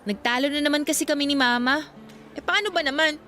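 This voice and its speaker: A woman's voice: high voice, fast, bright, forceful tone, soft background music. A woman playing a sulky, shy eighteen-year-old student girl with a Filipino accent in a radio drama, with a girlish, conversational delivery. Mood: sulky, complaining.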